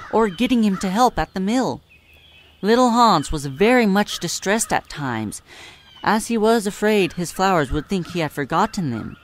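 A narrator reading a scripted classic story in storybook style. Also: medium pitch. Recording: clear background noise.

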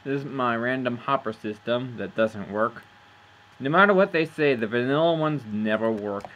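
A man's voice: medium pitch, moderate pace, fairly bright tone. A man giving casual, rambling commentary over his own gameplay, amused at himself and losing his train of thought. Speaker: gameplay narrator.

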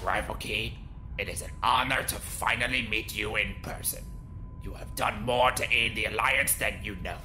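An actor playing a confident, gruff rebel commander in an audio drama, composed and authoritative. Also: male voice, on the low side, steady tone, moderate pace, sharp tone, faint music behind.

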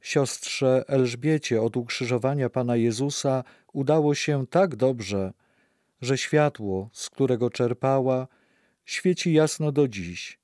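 A man's voice: mid-range voice, darkish tone, dry recording, clean studio sound. A man playing a reflective narrator of faith in a scripted radio play.

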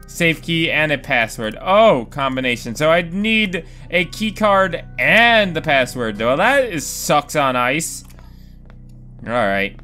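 A man's voice: a little high, lively intonation, slow pace, pushed and bright, soft background music. A young man casually commenting on a horror game he is playing, rambling, swearing often and talking to himself. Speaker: gaming video host. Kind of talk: casual let's play commentary. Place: home room.

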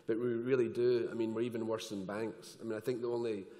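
Joking panelist in a big hall. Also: male voice, fairly low pitch, fast talker. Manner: relaxed, joking, conversational. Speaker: insurance executive panelist. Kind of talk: conference panel discussion. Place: large conference hall stage. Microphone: headset mic. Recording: long hall reverb.

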